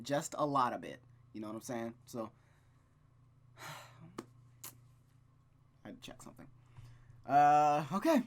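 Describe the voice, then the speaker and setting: A gaming host reading a visual novel aloud with casual, rambling, expressive commentary. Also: medium pitch. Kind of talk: casual game commentary and read-aloud narration.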